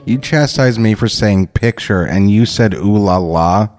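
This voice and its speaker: A man's voice: lowish voice, measured pace, a little mellow, soft background music. A man bantering casually as co-host of a comedy table-read podcast. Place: podcast studio.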